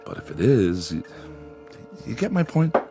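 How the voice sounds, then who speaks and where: Male as deliberate, reasoning psychiatrist, lowish voice with lively inflection, drama with music behind. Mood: reasoning, emphatic. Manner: deliberate, explanatory, emphatic. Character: measured, rational male psychiatrist reasoning with patient. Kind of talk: dramatic dialogue and first-person narration.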